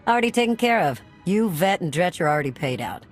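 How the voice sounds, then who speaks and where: An actress playing a no-nonsense smuggler in a game cutscene, teasing her partner in a deadpan way. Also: fairly low pitch, fast, faint music behind. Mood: wry, unimpressed. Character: dry, no-nonsense female smuggler, the rogue's partner. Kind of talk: video game cutscene acting.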